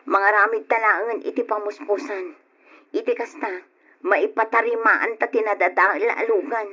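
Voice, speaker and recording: A practical, determined wife weighed down by burdens in an old radio play. Her voice is husky and earnest, at a middling pitch. The sound is dull and lo-fi. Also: steady tone, moderate pace, bright, forceful tone. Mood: resolute. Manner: earnest, resolute. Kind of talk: old radio drama acting.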